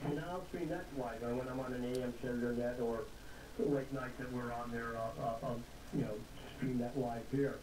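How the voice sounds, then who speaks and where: A man's voice: mid-range voice, steady tone. Man rambling in a noisy, echoey room. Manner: halting, rambling. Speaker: amateur radio operator. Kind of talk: casual ham radio chat. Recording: roomy, noisy ham radio contact.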